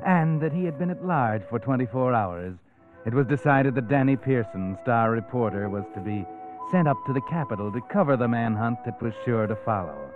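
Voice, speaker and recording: A man's voice: mid-range voice, expressive, brisk, lightly acted. Vintage radio mystery host played by a man: sinister, insinuating storyteller, tinny old recording, music underneath. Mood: storytelling. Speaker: narrator. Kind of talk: 1940s radio mystery narration.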